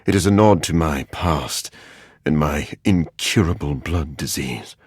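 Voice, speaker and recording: An actor playing a brooding, scholarly vampire in a video game, a man with a husky, gravelly voice and a dry, formal manner. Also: very deep voice, unhurried, no room sound, heavily acted. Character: brooding, scholarly living vampire scientist, grim and awkward, adult man. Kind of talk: video game character acting.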